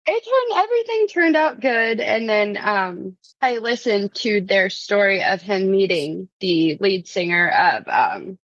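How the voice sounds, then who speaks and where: Woman, medium pitch, podcast guest, casual storytelling, giggly, dry close sound. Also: lively intonation, unhurried, hardly any pauses, dry recording, lo-fi sound. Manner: giggly, punctuated with "like". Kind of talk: casual anecdotal chat.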